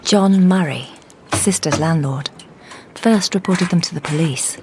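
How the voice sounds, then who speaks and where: A crisp, composed lady detective. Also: low-pitched, measured pace, background noise. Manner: crisp, composed, clipped when dictating notes. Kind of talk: radio drama acting.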